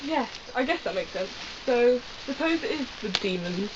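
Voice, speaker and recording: A woman's voice: a little high, animated, moderate pace, noisy background, lightly acted. A woman playing a curious young companion who questions everything with a light sarcastic edge. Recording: lo-fi fan audio drama.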